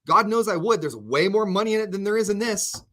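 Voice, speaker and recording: A man radio host in casual chat with his co-host, animated, often restarting his sentences. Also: high-pitched, fast.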